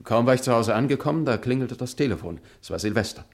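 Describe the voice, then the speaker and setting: An actor playing a shaken, grieving friend, speaking with halting, earnest drama in a radio crime play. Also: male voice, medium pitch, animated, close to an everyday voice. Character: shaken, grieving male friend of a dead man.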